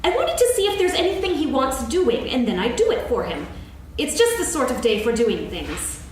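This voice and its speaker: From far off in a very echoey hall comes a bossy, bustling rabbit acted by a woman in a children's stage reading. The voice is high and lively, brisk and full of self-importance. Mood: eager bustling. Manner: brisk, officious, emphatic. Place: on stage in a hall, recorded from afar. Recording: echoey hall, distant, faint noise.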